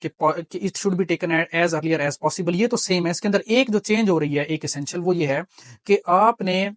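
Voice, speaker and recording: A man's voice: high voice, a little slow, no echo, clean studio sound. A man with a Pakistani accent giving an explanatory law lecture, mixing in English terms and teaching didactically through examples and repeated key points.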